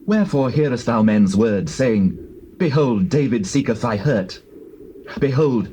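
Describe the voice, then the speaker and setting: An actor playing an earnest, righteous young warrior in dramatized scripture, speaking in declamatory archaic phrases. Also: male voice, mid-range voice, darkish tone, lightly acted, low-quality recording. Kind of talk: dramatized scripture, classical stage acting. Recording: hissy old cassette tape transfer.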